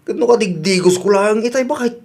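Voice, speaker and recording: A man's voice: high-pitched, brisk, a little mellow. Man as older father, teasing, easygoing, Ilocano accent, comedy radio drama.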